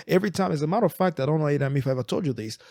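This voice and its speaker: A man's voice: a little high, lively intonation, rapid-fire, creaky, a little mellow, no echo. Earnest man from Eastern Congo, dry sound. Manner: earnest, frequent word repetitions and restarts. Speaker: podcast co-host. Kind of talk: reflective casual chat.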